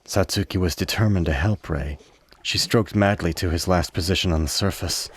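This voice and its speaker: A man narrating a scripted radio play in a measured, literary storytelling manner. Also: low-pitched, slight background noise.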